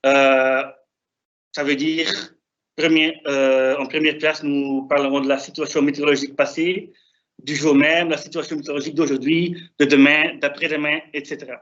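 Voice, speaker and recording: Young guy w foreign accent doing a research talk on a video call, dull audio, highish voice, bit halting. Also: flat delivery, a bit bright. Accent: non-native. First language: not French. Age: young adult. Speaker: doctoral researcher presenting. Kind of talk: academic conference presentation. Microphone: video call, presenting remotely. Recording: dull, band-limited online call audio.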